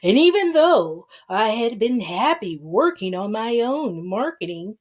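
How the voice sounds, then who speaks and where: A woman voicing a meek, docile old man who plays the victim in a soft, earnest dramatic reading. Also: fairly high pitch, big pitch swings, measured pace. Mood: earnest, self-pitying.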